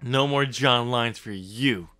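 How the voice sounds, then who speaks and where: A man hosting a podcast in casual discussion, halting and amused as he talks. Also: medium pitch, pushed and bright. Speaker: podcast host and author.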